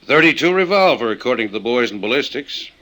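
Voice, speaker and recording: An actor playing a shrewd, laconic small-town police chief with folksy phrasing in an old-time radio detective drama. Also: male voice, mid-range voice, very animated, fast talker, bright, forceful tone, a little hiss or hum.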